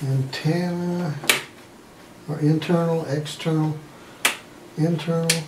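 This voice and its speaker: A roomy, noisy recording picks up an older man at some distance from the camera. He talks slowly and casually in short fragments, thinking aloud as he handles things at a table. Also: a little high, steady tone. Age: older, around 60s. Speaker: hobbyist demonstrator testing old electronics. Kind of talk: casual, muttered hands-on demonstration. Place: indoor room at home, seated at a wooden table. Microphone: camera mic a few feet away.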